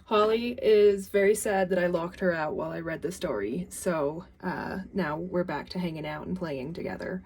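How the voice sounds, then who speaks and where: A woman's voice: fairly low pitch, normal speed, few pauses, a little mellow, slightly rough. A woman host speaking casually to viewers as she signs off a story-reading video. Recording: distant from the mic.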